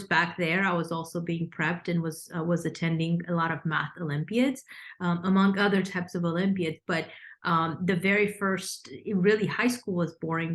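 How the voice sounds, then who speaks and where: A woman in her thirties from Romania, a financial consultant speaking as a guest in an interview, articulate but with many fillers and restarts. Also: lowish voice. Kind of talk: podcast interview.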